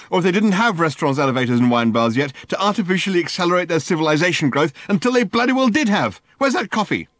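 A man voicing a frantic, high-strung male alien who rants and shouts in an audiobook. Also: no echo, heavily acted. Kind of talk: audiobook character voice.

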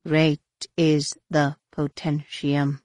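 A woman's voice: sits low, little pitch movement, extremely slow, darkish tone, dry recording. A woman voicing a crowd of alien devotees in an audiobook, in a solemn chant. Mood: reverent chanting.

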